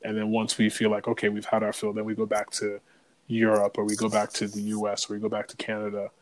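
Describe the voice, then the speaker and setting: Even-toned, low-voiced man talking casually. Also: fast talker, low-quality recording. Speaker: podcast co-host. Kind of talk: casual podcast discussion.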